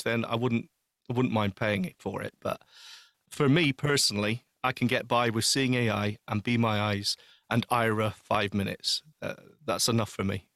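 A man's voice: mid-range voice, a bit bright, dry recording, studio quality. A man talking casually and earnestly as a panelist on a podcast, with an emphatic way of putting things.

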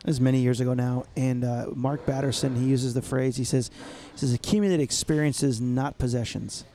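Mellow-voiced reflective podcast co-host. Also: male voice, medium pitch, steady tone, brisk, a little hiss or hum. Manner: easygoing, reflective storyteller. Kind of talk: casual chat.

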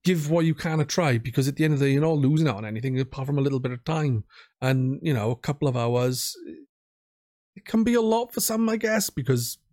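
A man's voice: medium pitch, fast talker, a little mellow. A man chatting casually as a podcast host about video games, informal and self-deprecating.

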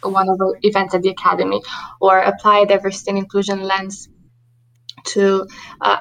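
Romanian woman, thirties, earnest interview guest, mid-pitched, lots of fillers. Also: creaky, fairly bright tone, low-quality recording. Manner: earnest, many fillers and restarts. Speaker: diversity and inclusion manager, guest. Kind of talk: podcast interview.

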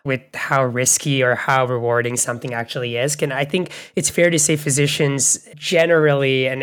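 A man's voice: medium pitch, fairly bright tone. Reflective man co-hosting a podcast. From Bangladesh. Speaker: podcast co-host. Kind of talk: conversational interview.

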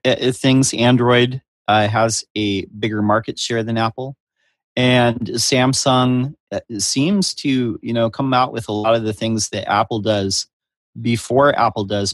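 Guy on a panel thinking things through, measured and analytical, dry close sound. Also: medium pitch, dry recording. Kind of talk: panel discussion.

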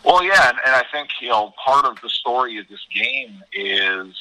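A man's voice: medium pitch, sing-song, normal speed, gravelly, sharp tone, low-quality recording. A man giving conversational hockey analysis as an interview guest, emphatic and repeating words as he explains.

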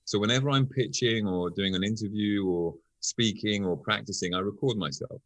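A man's voice: on the low side, moderate pace, rough recording. A man being interviewed as a guest, explaining with examples in an eager conversational way.

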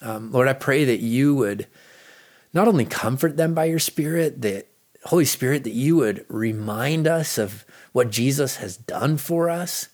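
A man with an American accent speaking as a pastor, reading a devotional in an earnest, deliberate way with pauses for emphasis. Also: medium pitch, expressive, a little mellow. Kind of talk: read devotional with earnest teaching and prayer.

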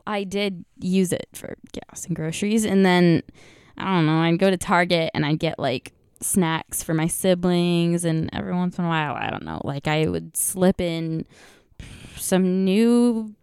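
A young woman chats to her viewers in a scratchy, gravelly voice, her throat worn out from singing. She rambles with fillers and laughs, recorded close and dry. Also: on the low side, a little slow, a little mellow, no room sound. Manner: giggly, rambling with many fillers. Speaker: vlogger. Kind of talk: casual chat to viewers.

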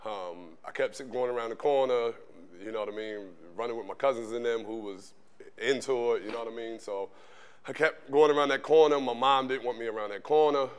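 A man's voice: medium pitch, brisk, clear, resonant tone. A man with a Baltimore accent, co-hosting a podcast in a casual, laid-back, slangy way. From Baltimore, Maryland. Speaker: podcast co-host.

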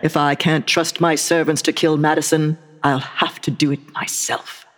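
A woman's voice: low-pitched, little pitch movement, moderate pace, a bit bright. A woman playing a vain, scornful, murderous evil queen in a comic radio play, with a theatrical, imperious delivery. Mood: indignant, irritated. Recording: long hall-like reverb.

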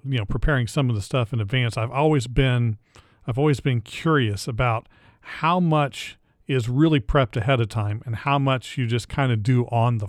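A man's voice: medium pitch, no echo, studio quality. A man hosting a podcast interview, chatty and curious, often restarting his phrases. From Indiana area. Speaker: podcast host. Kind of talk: casual interview.

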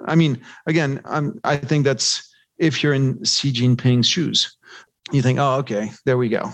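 A man's voice: medium pitch, fairly even intonation, measured pace, no echo. Man, podcast guest, crackly voice, conversational financial commentary, discursive, rhetorical questions, close dry sound. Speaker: podcast guest, investment research analyst.

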